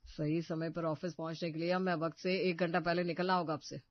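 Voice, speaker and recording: A man's voice: a little high, little pitch movement, brisk, dry recording, close to an everyday voice. A man voicing a grumbling, office-going brother in a Hindi cartoon story, with a complaining manner. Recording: dull, muffled studio voice track.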